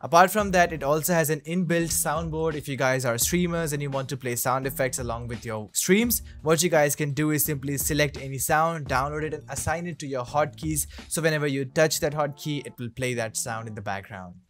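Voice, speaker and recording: A man narrating a software tutorial in an upbeat, salesy way as a video presenter. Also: fairly high pitch, a little fast, few pauses.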